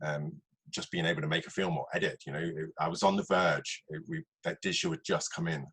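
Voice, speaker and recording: A man hosting a podcast, chatting casually as he interviews a guest, with a husky voice. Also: very deep voice, fairly even intonation, brisk, fairly bright tone.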